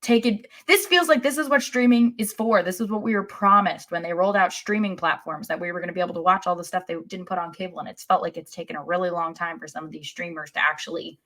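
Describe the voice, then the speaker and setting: A woman's voice: fairly low pitch, steady tone, quick pace, hardly any pauses, a bit bright. An American woman co-hosting a sports podcast in casual chat, opinionated and wry, with emphatic turns.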